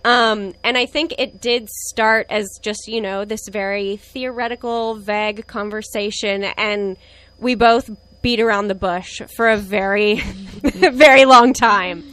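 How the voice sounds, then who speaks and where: A woman's voice: mid-range voice, steady tone, unhurried. A woman in her twenties talking candidly and reflectively about her relationship in a casual conversation. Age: 20s. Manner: reflective, candid, soft laughs. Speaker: guest.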